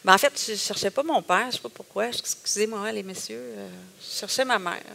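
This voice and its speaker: Gravelly middle-aged Québécois woman. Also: medium pitch, fairly quick, sharp tone, slight background noise. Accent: Québécois French. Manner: emotional storytelling with hesitations and self-quotes. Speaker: guest. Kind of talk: personal testimony in a conversation.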